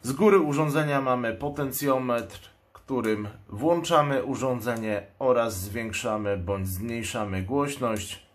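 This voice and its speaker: Slow-talking man presenting a product. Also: mid-range voice. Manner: explanatory, matter-of-fact. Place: indoors at a table.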